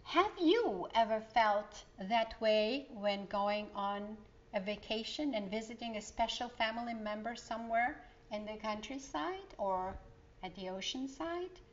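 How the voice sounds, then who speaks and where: A middle-aged woman reading a children's storybook aloud in an expressive, emphatic way, with explanatory asides to young listeners. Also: a little high, a little slow, dull audio. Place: indoor living room.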